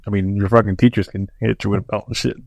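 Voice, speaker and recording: A man's voice: lowish voice, steady tone, quick pace, creaky, no echo. A man from Mexico, a podcast host, talking loosely and profanely with plenty of laughter. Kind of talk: casual chat.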